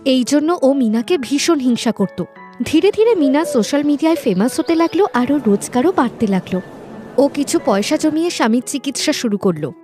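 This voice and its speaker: A woman's voice: sits high, lively intonation, brisk, mellow, faint music behind. A woman narrator reading a scripted cartoon story.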